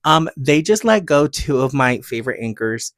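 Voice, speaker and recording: A man's voice: a little high, big pitch swings, normal speed, fairly bright tone, no echo, clean studio sound. An American man hosting a podcast, talking casually and emphatically in a gushing, rambling way. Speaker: podcast host. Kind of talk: casual chatty solo podcast talk, music recommendations and rants.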